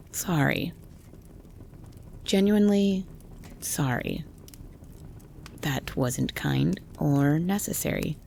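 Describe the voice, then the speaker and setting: A woman playing a gruff, wary cabin dweller, blunt and grumpy, in a horror audio roleplay. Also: low-pitched, expressive, drawn-out words, long pauses, dark tone, a little hiss or hum, full character voice. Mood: grudgingly apologetic. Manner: blunt, grumpy, foul-mouthed.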